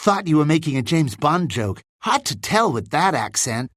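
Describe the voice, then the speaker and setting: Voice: mid-range voice, big pitch swings, moderate pace, a bit bright, no room sound, studio quality, voice pushed up. A narrator voicing a chatty, breezy, wisecracking young American boy wizard in an audiobook, talking in guileless slangy bursts. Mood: joking, casual.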